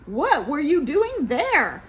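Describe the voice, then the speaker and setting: A woman voicing a haughty, angry male president in a storybook, forceful and imperious. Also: sits high, huge pitch swings, slow, voice pushed up, exaggerated voice. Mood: disturbed.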